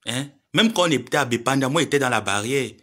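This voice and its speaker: Sharp-toned lecturing man. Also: mid-range voice, lively intonation, fast. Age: 30s. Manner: preachy, lecturing, pleading then scolding. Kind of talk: admonishing social media live monologue.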